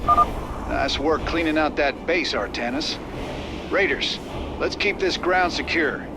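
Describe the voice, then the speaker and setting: An actor playing a gruff, weary middle-aged frontier commander with a folksy Southern American drawl in a video game. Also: male voice, a little high, lively intonation, takes it slowly, noisy background. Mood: urgent, gruff. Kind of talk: video game cinematic and mission dialogue acting.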